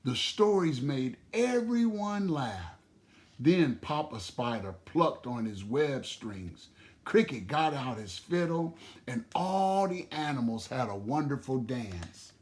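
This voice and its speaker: A man telling and explaining a children's story in a deliberate, expressive way. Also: medium pitch.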